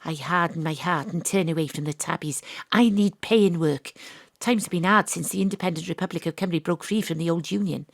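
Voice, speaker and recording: An actress playing a brooding, tender-hearted gumshoe detective, narrating an audio drama in wistful noir style. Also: female voice, fairly low pitch, brisk, gravelly, no echo, studio quality. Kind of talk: scripted first-person audio drama narration. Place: dry home studio.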